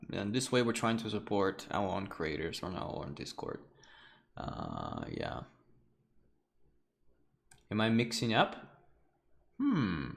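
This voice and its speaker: A young man with Russian as his first language talks through an art lesson live. His pitch swings widely, and he keeps it loose and chatty with frequent laughs into a desk mic. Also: gravelly. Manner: animated, chatty, laughs often, loose wording. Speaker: art lecturer streaming a class. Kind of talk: casual livestream lecture.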